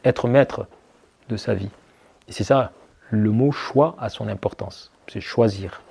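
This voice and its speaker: A man's voice: on the low side, slow pace, vocal fry, darkish tone, husky. A man as an interview guest, answering reflectively with many hesitations and restarts. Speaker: guest, army officer.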